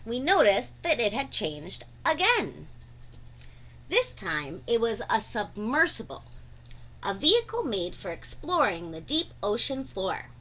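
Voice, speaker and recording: A woman's voice: fairly high pitch, sharp tone. A woman reading a children's storybook aloud expressively, drawing out words for dramatic emphasis. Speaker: read-aloud narrator.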